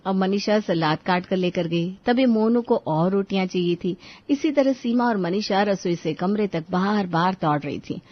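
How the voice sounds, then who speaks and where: A woman's voice: medium pitch, expressive, moderate pace, no echo, muffled recording. A woman narrating a scripted moral story as a storyteller.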